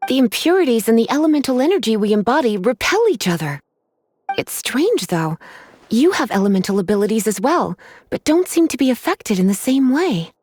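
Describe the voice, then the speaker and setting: A woman playing a languid, teasing librarian mage in a game cutscene, unhurried and sultry in manner. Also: on the high side, sing-song, darkish tone, a little hiss or hum.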